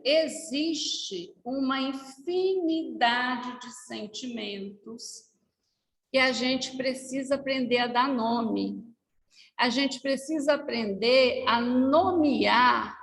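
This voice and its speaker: A Brazilian woman giving a gentle, explanatory talk to a congregation, with light asides. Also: sits high, vocal fry. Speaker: spiritist lecturer. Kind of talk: unhurried didactic talk to a congregation. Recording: echoey hall with long reverb.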